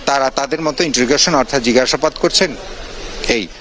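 A man's voice: medium pitch, fast talker, a bit bright. A man with a Bangladeshi accent giving a live, unscripted field report in a wordy, explanatory way, mixing in English terms. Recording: noisy live location audio.

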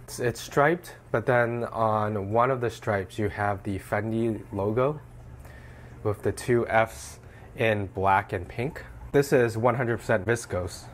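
A young man with a slightly hoarse voice from a cold, relaxed and explanatory as he narrates an unboxing at home. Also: fairly low pitch, slow, a little hiss or hum. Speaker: fashion vlogger. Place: small room indoors at home.

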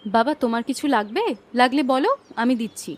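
A woman's voice: fairly high pitch, fairly quick, natural voice. A woman voicing a young married daughter in a cartoon, fond and chiding, a little bossy in her care. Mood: caring, firm. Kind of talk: Bengali cartoon dialogue dub.

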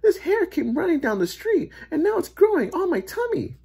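A woman's voice: extremely high pitch, very animated, moderate pace, vocal fry, mellow, voice pushed up, heavily acted. A woman voicing a panicky, demanding lady in a children's storybook, screaming hysterically.

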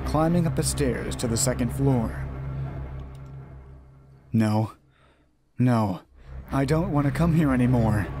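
Guy doing a let's play, reading horror story text out loud, medium pitch, trips on words, music behind him. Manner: stumbles over words and rereads phrases. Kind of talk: reading a horror visual novel aloud. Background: game music.

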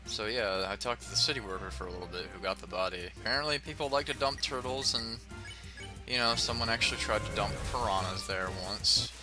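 Low-voiced American man over game music. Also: brisk. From United States, Ohio. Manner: monotone, rambling, profane. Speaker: let's play host. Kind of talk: casual gameplay commentary. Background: video game music.

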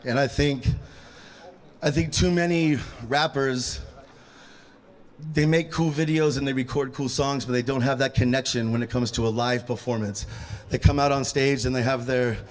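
A man in his thirties talking casually about street music and live shows, holding a handheld mic in an outdoor courtyard. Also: medium pitch. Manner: relaxed, conversational teaching. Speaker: rapper. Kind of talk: outdoor rap workshop talk and live rapping. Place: outdoor courtyard at a small event. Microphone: handheld microphone. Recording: loud hip hop beat behind. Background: hip hop beat.

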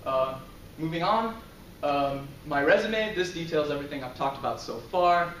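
A man's voice: a little high, animated, moderate pace, fairly bright tone, slight background noise. A young man giving a casual class presentation about himself, with frequent ums and light chuckles. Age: young adult. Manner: casual, filler-heavy, chuckles often. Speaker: student presenter. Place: lecture room, in front of a projector screen. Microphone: far camera. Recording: roomy, distant camera audio.

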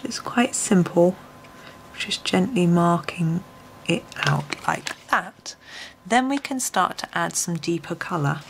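A woman, a little croaky, gently talking through a colouring tutorial at her desk, often dropping to a near whisper. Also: lowish voice, slight background noise, low-quality recording. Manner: soft-spoken, often drops to a near whisper. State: slightly croaky voice. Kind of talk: relaxed step-by-step tutorial. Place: home desk, filmed from above.

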